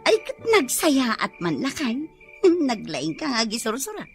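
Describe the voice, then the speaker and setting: A woman acts out an affectionate, nagging village wife in a radio comedy. Her high voice moves freely up and down as she teases and complains. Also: huge pitch swings, unhurried, soft background music, heavily acted. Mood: teasing. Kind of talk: comic radio drama acting.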